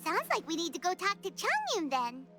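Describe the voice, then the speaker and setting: A woman voicing a tiny, bubbly, childlike fairy companion with a squeaky voice in an anime-style video game. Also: wildly swooping pitch, normal speed, sharp tone, soft background music, full character voice. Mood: purposeful. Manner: bubbly, exclamatory.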